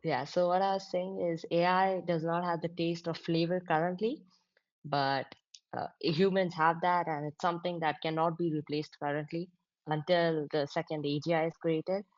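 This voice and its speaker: Female interview guest, founder, lowish voice, very dry sound. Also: steady tone, moderate pace, no echo. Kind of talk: conversational interview answers. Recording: slightly dull online-call audio.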